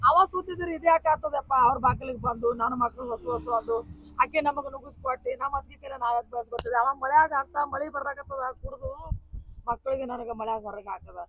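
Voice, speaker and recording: A man's voice: extremely high pitch, steady tone, fast, highs cut off. An actor playing a distressed young village mother thrown out by her in-laws, complaining bitterly through tears, with a North Karnataka Kannada accent. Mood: tearful. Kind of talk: village drama acting.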